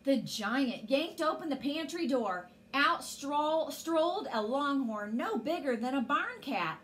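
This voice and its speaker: A woman's voice: high voice, expressive, takes it slowly, fairly bright tone. A woman in her forties reading a children's story expressively as a storyteller, indoors.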